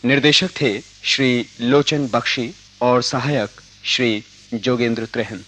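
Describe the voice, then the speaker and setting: A male radio announcer with a medium-pitched voice. He reads in a formal, scripted style, measured and deliberate. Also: fairly even intonation, fairly bright tone, low-quality recording.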